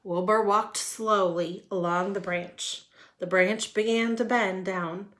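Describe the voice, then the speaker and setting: A woman narrates a children's picture book in a read-aloud style. Her pace is slow, with a medium pitch that suits a storyteller. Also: fairly even intonation, a bit bright.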